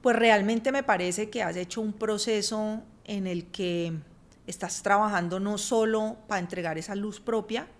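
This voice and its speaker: A woman's voice: mid-range voice, steady tone, normal speed. A woman who hosts a podcast, conversationally encouraging and questioning her guest. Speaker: podcast host. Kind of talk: interviewing a guest.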